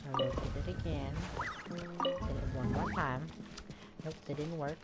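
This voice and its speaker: A woman's voice: low voice, measured pace. A woman giving casual gameplay commentary, muttering to herself as she plays, with game music behind her. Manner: muttering to self while playing. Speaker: game streamer. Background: loud video game music.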